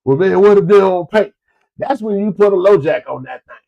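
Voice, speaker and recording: A man's voice: sits high, animated, fairly quick, gravelly, dull audio. A man, stuffed up from a cold, giving folksy business advice to his listeners in a casual, repetitive way. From Florida. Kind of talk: casual motivational talk to listeners.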